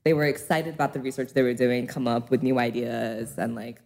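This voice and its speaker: A woman's voice: a bass voice, level tone, fairly quick, darkish tone. A young woman with an American accent, raised in Hong Kong, chatting casually as an interview guest and laughing often. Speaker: interview guest, pole dance instructor.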